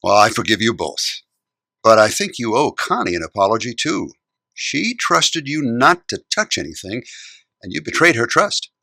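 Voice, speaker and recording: An actor playing a kindly, gently stern older man in a children's radio drama. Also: mid-range voice, big pitch swings, vocal fry, no echo, full character voice. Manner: measured, theatrical, gently admonishing.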